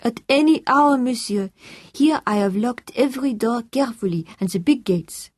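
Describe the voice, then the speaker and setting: A woman's voice: a little high, normal speed, no room sound, studio quality, pitched above the performer's own voice, natural voice. A woman voicing an anxious, deferential housekeeper in an audiobook, flustered and fretful.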